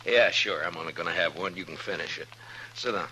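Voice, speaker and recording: An actor playing a steady, plain-spoken western marshal with a laconic manner, in 1950s radio drama style. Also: male voice, low-pitched, fairly quick. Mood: easygoing. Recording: noisy vintage 1950s radio recording.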